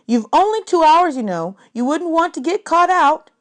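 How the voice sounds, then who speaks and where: A woman voicing a brisk female schoolteacher in a storybook reading, projecting instructions to children. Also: very high-pitched, animated, voice pushed up.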